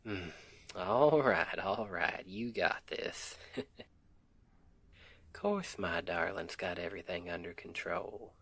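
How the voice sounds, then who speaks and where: A man's voice: deep voice, big pitch swings, slow, gravelly, pauses often, fairly bright tone, heavily acted. A man playing a sweet, devoted Southern husband in an intimate ASMR roleplay, tender and affectionate, with a Southern US accent. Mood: curious, affectionate.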